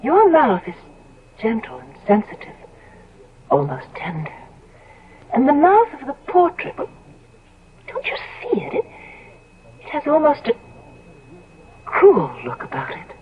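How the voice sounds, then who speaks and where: An actress playing a tender, anxious young sweetheart in a 1970s radio drama, hesitant and breathy. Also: female voice, high voice, wildly swooping pitch, unhurried, pauses often, heavily acted. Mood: uneasy, tender. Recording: thin, narrow-band old radio recording.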